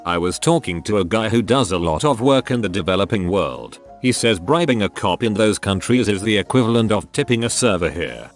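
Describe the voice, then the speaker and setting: A man narrating a string of short online stories as scripted readings, in a steady, even manner. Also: fairly low pitch, brisk, hardly any pauses, soft background music. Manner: steady, even reading of short anecdotes one after another.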